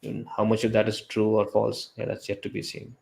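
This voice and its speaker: A man's voice: fairly low pitch, monotone, gravelly, darkish tone. A young man from India with an Indian English accent, chatting casually as co-host and often restarting his sentences. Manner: halting, frequent restarts and fillers. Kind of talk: casual podcast discussion.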